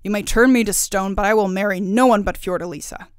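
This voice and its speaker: A woman's voice: mid-range voice, steady tone, normal speed, no room sound, studio quality, close to an everyday voice. A woman voicing a proud, lovelorn young king in a fairy tale, stubborn and indignant. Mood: defiant.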